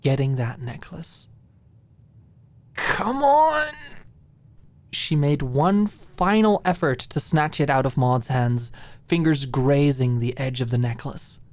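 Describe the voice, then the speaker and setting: A woman narrating a scripted audiobook in ASMR style, with soft phrases that drop into a hush. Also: a bass voice, a little slow.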